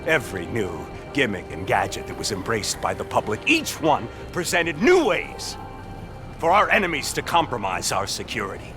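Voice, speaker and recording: An actor playing a defiant, self-righteous security official in a video game, lecturing emphatically and with contempt. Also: male voice, medium pitch, sing-song, normal speed, lightly acted. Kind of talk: dramatic video game acting. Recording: clear music behind the voice.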